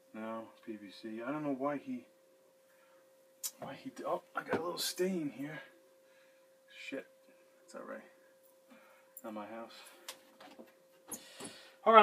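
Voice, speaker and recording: A man's voice: a little high, lively intonation, measured pace, pauses often, background noise. Swearing man narrating a sink repair. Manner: swears freely, rambling, chuckling to himself. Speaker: handyman fixing a sink. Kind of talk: casual how-to video with running commentary. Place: small basement room with a sink and cabinets. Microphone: fixed camera a few feet away.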